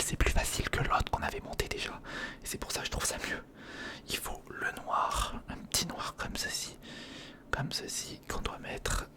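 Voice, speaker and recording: A man whispers in a breathy, unvoiced ASMR voice, close to a sensitive mic. He talks informally and reassuringly, guiding the listener step by step through a hands-on build. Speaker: ASMR video creator. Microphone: close sensitive recorder microphone.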